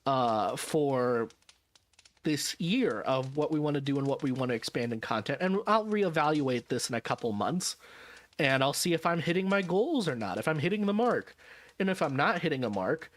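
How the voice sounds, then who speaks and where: A man's voice: a little high, expressive, moderate pace. Tired, rambling male streamer. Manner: rambling, self-correcting, wry. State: tired, jet-lagged. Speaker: vtuber streamer. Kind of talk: casual stream chat.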